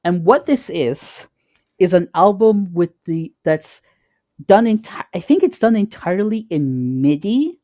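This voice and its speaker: A man co-hosting a podcast, chatting informally and haltingly, often repeating words as he goes. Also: sits high, animated, mellow, no room sound, studio quality. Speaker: podcast co-host. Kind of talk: casual chat.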